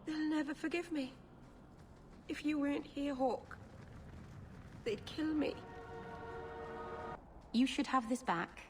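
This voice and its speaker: A woman playing a gentle, naive young female elf in a fantasy video game, with an earnest, halting delivery. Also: high-pitched, faint music behind. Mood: grieving, then fond, chatty.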